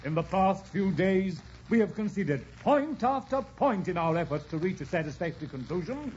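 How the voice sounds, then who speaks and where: An actor playing a stern, formal English negotiator in old-time radio drama, declamatory and unyielding. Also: male voice, high voice, big pitch swings, moderate pace, darkish tone, slight background noise. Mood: stern, exasperated. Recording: dull old radio recording.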